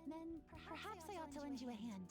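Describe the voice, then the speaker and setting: A woman's voice: sits high, expressive, fairly quick, a little mellow. An actress playing a polite, earnest teenage schoolgirl in an anime game, with a formal and slightly hesitant manner. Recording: game music behind the voice.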